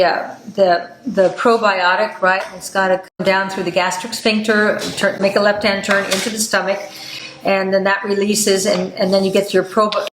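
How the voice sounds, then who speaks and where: An older woman hosting a podcast, talking in a chatty, animated way with many fillers. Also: mid-range voice. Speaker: podcast host. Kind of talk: casual health talk. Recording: echoey room with faint noise.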